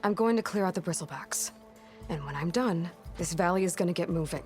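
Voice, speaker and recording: A woman performs a young warrior heroine from a fantasy video game. Her voice is a little low, with a wry, direct, unimpressed delivery, over light music. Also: fairly quick, natural voice. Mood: resolute. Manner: dry, sardonic, direct. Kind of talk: video game character acting.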